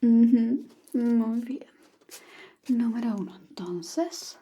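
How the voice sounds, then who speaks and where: A woman whispering softly and playfully as a language tutor in an ASMR roleplay. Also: fairly high pitch, drawn-out words, husky. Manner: soft whisper, playful teasing.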